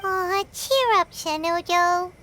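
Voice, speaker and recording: A woman voicing a small, cheerful, cheeky childlike fairy companion in a video game, with a squeaky voice. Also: slow, bright, forceful tone, a little hiss or hum, heavily acted. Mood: consoling. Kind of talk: English game dub acting.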